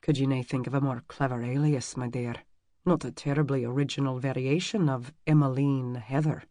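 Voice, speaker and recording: A narrator voicing a charming, amused Scottish man with a deep, rumbling brogue, speaking softly and teasingly in an audiobook. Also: steady tone, no room sound, clean studio sound, natural voice. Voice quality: deep, low rumbling murmur. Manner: soft, teasing and unhurried. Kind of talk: audiobook character voice.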